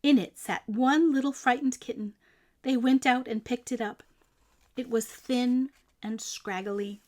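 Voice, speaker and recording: A woman reading a picture book aloud as a storyteller, expressive and emphatic, with deliberate phrasing for children. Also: on the high side.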